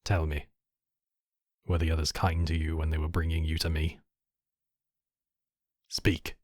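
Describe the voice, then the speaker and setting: A man's voice: a bass voice, monotone, a little slow, a little mellow, no echo, clean studio sound. A man playing a commanding yet compassionate demon king, speaking in formal, regal phrases in an intimate ASMR roleplay. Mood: cool, probing.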